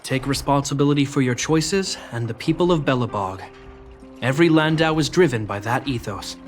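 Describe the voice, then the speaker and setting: A man's voice: mid-range voice, animated, a little fast, soft background music, natural voice. A man playing a dutiful younger-brother guard captain in a video game, speaking in a formal, reserved and sincere way. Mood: earnest, concerned.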